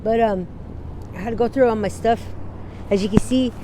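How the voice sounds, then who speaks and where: Woman, forties, from Wyoming, speaks unpolished and halting, wanders off mid-thought, laughs easily, street sounds behind her. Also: on the high side, warm tone, slight background noise. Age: 40s. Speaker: interviewee. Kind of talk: candid street interview. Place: outdoors on a city street.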